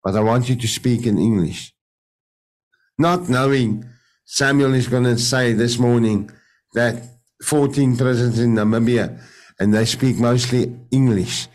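A man's voice: medium pitch, a little mellow. A man with an Afrikaans accent whose first language is Afrikaans, giving a faith testimony on a video call and often stopping to search for English words. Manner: halting, searching for English words, many restarts. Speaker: radio presenter sharing testimony.

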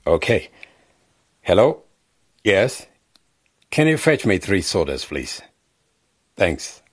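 An actor playing a vain, pompous member of parliament who insists on being called honorable, in a radio drama. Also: male voice, deep voice, sing-song, takes it slowly, slightly rough, dry recording, clean studio sound, heavily acted. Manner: pompous, insists on his title. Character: vain smooth-talking male politician, middle-aged.

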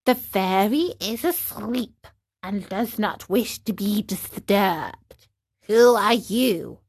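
Woman as a slow, serene lady snail. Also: medium pitch, slightly rough, heavily acted. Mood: placid. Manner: calm, peaceful, slow, deliberate. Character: calm, unhurried female snail, serenely unhelpful. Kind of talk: children's storybook character voice.

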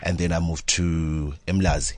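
A man's voice: sits low, little pitch movement, moderate pace, a little mellow, dry recording. A young man from South Africa, a TV presenter, chatting as an interview guest in an easygoing, modest way. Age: young adult. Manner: easygoing, modest, laughs easily. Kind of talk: casual interview.

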